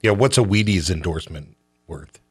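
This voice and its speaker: A man's voice: low voice, lively intonation, measured pace, a bit bright, slightly rough, no echo, studio quality. A man hosting a radio show in a casual, colloquial, opinionated and joking conversational style.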